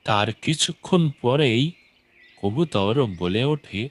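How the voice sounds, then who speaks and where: Slow-paced male children's story narrator. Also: mid-range voice, lively intonation, a little hiss or hum.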